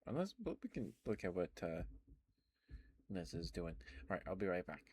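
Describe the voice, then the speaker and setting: A man streaming ASMR, talking softly and reassuringly to his chat into a close microphone. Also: sits low, very animated, moderate pace, breathy edge, no echo. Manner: soft, gentle, reassuring. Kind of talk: whispered ASMR affirmations and casual stream chat. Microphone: close ASMR microphone with headphones.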